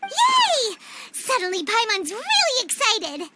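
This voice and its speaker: A woman voicing a tiny, excitable, blunt childlike fairy companion in a video game cutscene. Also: takes it slowly, heavy background noise, heavily acted. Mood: excited. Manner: squeaky, exclaiming, speaks of self in third person.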